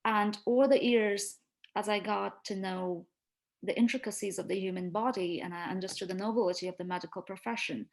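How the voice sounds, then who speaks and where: A woman's voice: medium pitch, steady tone, brisk, gravelly. A young woman from India, whose first language is Marathi, speaking earnestly and articulately as an interview guest, with small hesitations. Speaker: interview guest, medical student. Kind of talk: casual interview chat.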